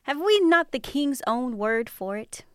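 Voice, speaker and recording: A woman voicing a bold, confident woman traveler in a storybook, calm and assured. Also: high-pitched, a little slow, smooth, no echo, voice pushed up.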